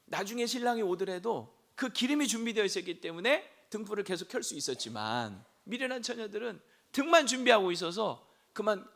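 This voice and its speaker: Hoarse, gravelly man preaching. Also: high-pitched. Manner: rhetorical questions, rising to shouts. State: hoarse from a throat cold. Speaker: senior pastor. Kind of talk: sermon.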